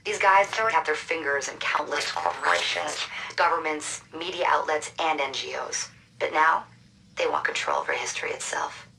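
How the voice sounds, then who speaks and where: An actress playing a secretive resistance hacker who briefs a new recruit over a link. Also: female voice, low voice, expressive, creaky, background noise. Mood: urgent. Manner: brisk, urgent, conspiratorial. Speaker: mission handler.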